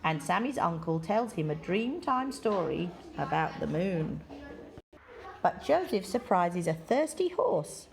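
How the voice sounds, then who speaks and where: A woman reading a children's picture book aloud in deliberate, measured phrases with expressive emphasis. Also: fairly low pitch, creaky. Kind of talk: read-aloud children's story.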